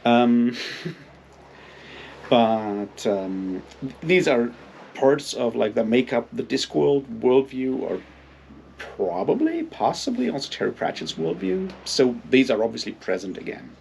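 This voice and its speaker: A man giving a casual, unscripted book commentary in a halting, rambling way, with plenty of filler phrases. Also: medium pitch, very animated, vocal fry, darkish tone, slight background noise. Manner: halting, hesitant, rambling delivery with frequent hedging filler phrases. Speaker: book reviewer and readalong host.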